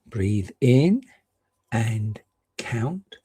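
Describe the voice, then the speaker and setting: A man gently and reassuringly guiding a meditation, leaving unhurried pauses between short phrases. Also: fairly low pitch, very animated, slightly rough, no echo. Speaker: meditation guide. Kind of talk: guided meditation.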